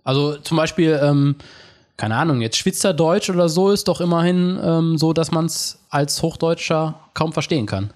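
A man's voice: a little high, moderate pace, a little mellow. A man from the Ruhr area, talking in a thoughtful, hesitant way with many fillers in a casual chat. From Ruhr area, Germany.

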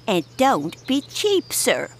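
An actress playing a dotty, cantankerous village widow in a comic audio drama, scolding and bossy. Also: female voice, a little high, huge pitch swings, slow, background noise, full character voice. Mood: bossy, grumbling. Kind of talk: comic audio theatre acting.

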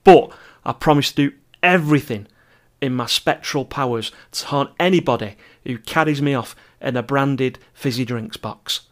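A man's voice: mid-range voice, moderate pace. A middle-aged man hosting a travel podcast, reading scripted narration with chatty asides in a wry, self-deprecating manner. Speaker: podcast host and travel writer.

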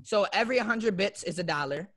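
A man's voice: high voice, expressive, a little fast, a bit bright, no room sound, clean studio sound. A young man from Florida, a guest YouTuber, talking in a loose, slangy way with lots of fillers. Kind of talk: casual interview chat.

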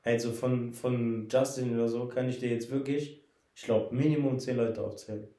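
A man's voice: mid-range voice, fairly even intonation, moderate pace, dark tone, reverberant. A young man co-hosting a casual podcast chat, tired and joking, sometimes mumbling. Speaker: podcast co-host.

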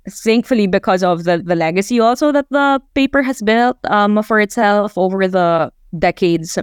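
A woman's voice: medium pitch, moderate pace, smooth, dry recording, studio quality. A woman in her twenties with a Filipino accent, answering interview questions as a journalist in a reflective, conversational way, sometimes mixing Tagalog into English. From Philippines. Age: 20s.

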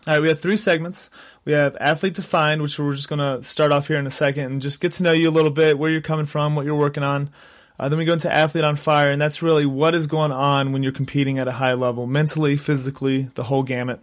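A man hosting a podcast, upbeat and energetic, with a stuffy nasal voice from a sinus infection. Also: a little high, quick pace, no room sound, studio quality. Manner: upbeat, energetic, frequent restarts. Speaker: podcast host. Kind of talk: podcast intro and interview. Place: Colorado.

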